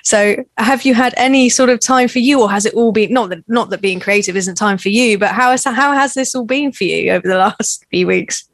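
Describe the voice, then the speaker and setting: A posh English woman hosting a relaxed interview, gushing with enthusiasm. Her voice is recorded dry and close, with no room sound around it. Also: fairly high pitch, fairly quick, few pauses, no room sound. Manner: enthusiastic, effusive praise. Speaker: host. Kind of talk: casual podcast interview.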